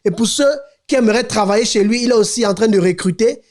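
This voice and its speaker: A man's voice: sits high, fast, darkish tone. A man hosting a podcast who introduces his guest and leads the interview, often repeating words as he searches for them. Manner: hesitant, repeats words, many short backchannels.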